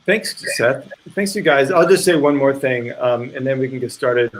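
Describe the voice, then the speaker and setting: Man, mid-range voice, informal event host, halting, thinks out loud. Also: medium pitch, a little hiss or hum, poor audio. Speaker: playwright and actor introducing a live play reading. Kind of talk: informal spoken introduction to an online event.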